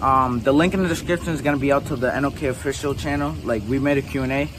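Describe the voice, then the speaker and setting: A man's voice: medium pitch, quick pace, background noise. A young man chatting casually into a phone at arm's length by a backyard pool, loose and full of slang. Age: young adult. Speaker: vlogger. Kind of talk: casual vlog chat. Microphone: phone held at arm's length.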